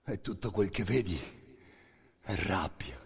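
A man performing an intense theatrical monologue, narrating in emphatic short phrases with a hoarse, gravelly voice. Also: sits low, expressive, measured pace. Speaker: stage actor narrating a monologue. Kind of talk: dramatic second-person theatrical narration.

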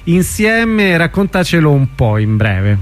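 A man's voice: on the high side, big pitch swings, measured pace, soft background music. A man interviewing a guest on the radio in an informal, conversational style.

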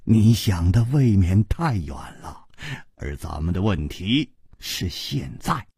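A man's voice: low-pitched, big pitch swings, slow pace, vocal fry, mellow, breathy edge, dry recording, studio quality, pitched below the performer's own voice, full character voice. A man voicing a confident, easygoing hero who teases his friend with a chuckle. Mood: teasing.

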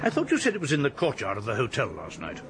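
Gruff English police colonel, lively intonation. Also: male voice, mid-range voice, quick pace, background noise. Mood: weary, exasperated. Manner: clipped, hesitant, methodical questioning. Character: gruff, formal police colonel leading a murder inquiry. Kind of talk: radio drama acting.

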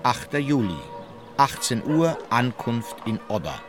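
An actor playing a terse diarist, reading travel log entries from a script in a radio play. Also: male voice, medium pitch, very animated, a little slow, loud music behind the voice. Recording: crowd chatter behind.

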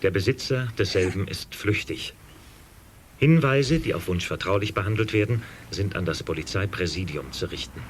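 A male actor playing a sober radio newsreader, giving an official bulletin in a measured, formal way, heard as from a radio set. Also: sits low, animated, slight background noise.

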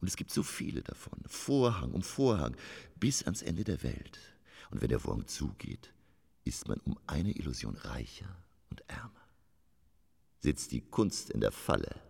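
Eccentric theatre lecturer, radio drama, very low voice, hugely varied delivery, pompous, declamatory, irascible. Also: male voice, very animated, a little slow, creaky, darkish tone, husky, heavily acted. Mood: brooding, lyrical. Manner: pompous, declamatory, rambling, swinging from muttering to bellowing. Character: eccentric, irascible male theatre lecturer obsessed with stage curtains.

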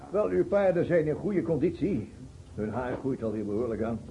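A man's voice: medium pitch, sing-song, moderate pace, warm tone, slight background noise, low-quality recording. A man acting in a radio play as an agitated, pleading boyar ruler who repeats himself and speaks effusively. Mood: effusive, insistent. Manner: effusive, repeats himself, swears earnest oaths. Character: agitated, pleading male boyar ruler of a war-torn realm.

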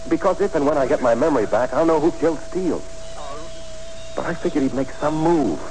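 A man's voice: fairly high pitch, expressive, brisk, darkish tone, soft background music, lightly acted, low-quality recording. An actor playing a tough, dogged man hunting his past, with clipped hard-boiled delivery in 1940s radio drama style. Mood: tense, probing. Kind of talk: 1940s radio acting with first-person narration. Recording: old 1940s radio broadcast recording.

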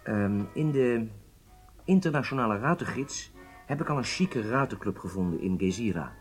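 A man's voice: medium pitch, animated, normal speed, breathy edge, faint music behind. An actor playing a charming, self-assured agent in his late thirties, speaking with casual nonchalance in a radio drama. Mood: confident, easygoing. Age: 30s.

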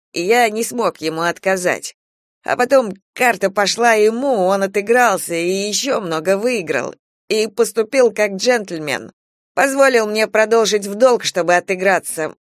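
A man voicing a young, dissolute gambler nephew, theatrical and pleading, with drawn-out emphatic delivery. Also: sits high, fairly bright tone, dry recording, clean studio sound.

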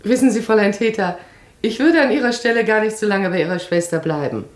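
An actress playing a self-assured, perceptive baroness in a radio play, speaking decisively, eloquently and with emphasis. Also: female voice, mid-range voice, quick pace, close to an everyday voice. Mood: polite, practical.

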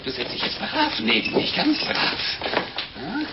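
A man's voice: high voice, wildly swooping pitch, a little slow, full character voice. An actor playing a jovial, fatherly mendicant monk in a radio play, fussy, pious and given to chuckling. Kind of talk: German radio play acting. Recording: dull, noisy old radio play recording.

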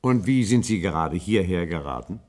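A man's voice: sits low, expressive, moderate pace, darkish tone. An actor playing an uneasy, probing new patient in a German science-fiction radio play, hesitant and repeating his words.

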